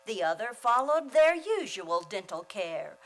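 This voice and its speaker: A woman's voice: medium pitch, sing-song, unhurried, poor audio. A woman announcer reading a commercial in an old-time radio style.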